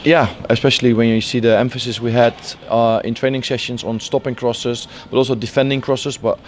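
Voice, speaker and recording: A man in his forties, a football coach, answering post-match interview questions outdoors by the pitch, halting with many fillers and restarts. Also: little pitch movement, slight background noise. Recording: faint outdoor stadium ambience.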